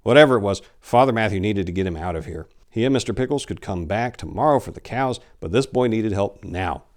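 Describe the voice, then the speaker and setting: A man in his forties giving a theatrical, deliberate dramatic reading of a horror story, with emphatic swells and hushed drops. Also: on the low side, expressive. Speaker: author reading a short story. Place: indoor room lined with bookshelves. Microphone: lapel mic.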